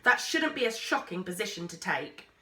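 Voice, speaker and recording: A woman voicing an indignant, sceptical girl in a storybook with emphatic, deadpan protests. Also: on the low side, normal speed. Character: sceptical, indignant, sharp-tongued girl Con. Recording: roomy, echoey sound.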